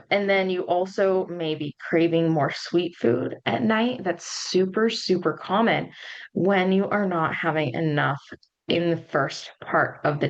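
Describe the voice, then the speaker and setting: Woman in her thirties, casual solo podcast host, a bit hoarse, chatty with ums, slightly dull lo-fi sound. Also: fairly low pitch. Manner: chatty, conversational, frequent ums and likes. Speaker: podcast host and postpartum nutrition coach.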